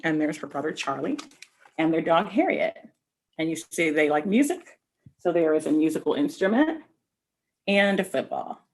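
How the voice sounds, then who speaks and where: A woman reading a picture book aloud to children, expressively, pausing to explain things directly to her young listeners. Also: sits low, a bit bright, husky. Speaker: read-aloud storyteller.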